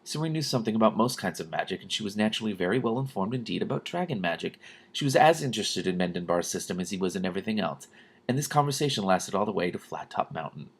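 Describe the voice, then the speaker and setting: Low-voiced man reading a story aloud. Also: animated, fast talker, husky. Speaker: host reading a book aloud with commentary. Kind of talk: expressive amateur read-aloud with casual commentary.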